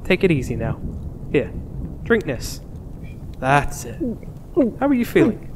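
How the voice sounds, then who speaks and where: A man acts out a composed, commanding master in a comic fantasy audio drama. The male voice sits a little high and sounds courteous yet scolding and theatrical. It rings in a big reverberant space with clear noise behind it. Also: very animated, measured pace. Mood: calm, courteous. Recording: long hall reverb, clear background noise.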